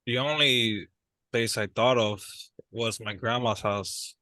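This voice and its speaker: A man plays a frustrated, unsure brother in a therapy dramatization. His bright, tense voice comes through close and dry, and he speaks haltingly, at an average pitch for a man. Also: no echo. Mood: uncertain, defensive. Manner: hesitant, halting. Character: frustrated, unsure brother struggling to keep a job. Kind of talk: dramatized therapy session, conversational.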